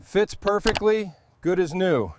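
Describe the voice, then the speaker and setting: A man with a somewhat high voice talks through hands-on workshop tasks as a restoration host. His commentary is practical and step by step, recorded with some ambient noise behind it. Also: lively intonation, a little slow.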